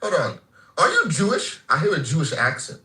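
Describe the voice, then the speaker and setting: A man's voice: fairly high pitch, huge pitch swings, fairly quick, sharp tone, reverberant. A man from Africa in a heated group voice chat, talking brashly and profanely and taunting others. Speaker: voice chat participant.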